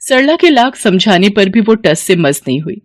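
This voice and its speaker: A woman's voice: mid-range voice, sing-song, moderate pace, a little hiss or hum. A woman reading scripted moral-story narration as the storyteller.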